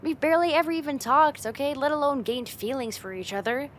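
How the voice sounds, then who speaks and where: A woman's voice: high voice, lively intonation, a little fast, a little hiss or hum. A woman playing a friend's teasing older sister in a casual, conversational ASMR roleplay spoken straight to the listener. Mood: playful, casual.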